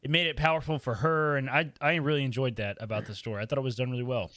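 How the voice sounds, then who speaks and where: A man's voice: mid-range voice, fairly even intonation, fast, a bit bright, dry recording. A man chatting casually on a podcast panel in a loose, colloquial way. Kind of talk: casual chat.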